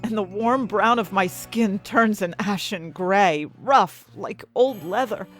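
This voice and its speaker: An anxious young fantasy heroine, acted by a woman, tells her story in the first person with intense, expressive delivery. Her voice sits at a middle pitch, with music playing faintly underneath, as in an audio drama. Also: slow, lightly acted. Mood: panicked. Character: anxious, desperate young female fantasy test subject. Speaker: narrator and main character of an audio fiction story.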